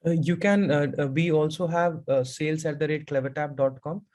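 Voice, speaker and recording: A man speaking as a guest presenter in an online webinar, explaining step by step and filling pauses with "uh" over a video call. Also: on the high side, steady tone, a little mellow. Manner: explains step by step, many "uh" fillers.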